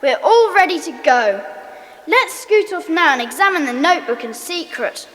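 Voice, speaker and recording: Brisk young club member, very high voice, echoing hall, children's radio play. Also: female voice, lively intonation, a bit bright. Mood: urgent. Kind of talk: children's audio drama acting. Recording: distant, reverberant hall sound.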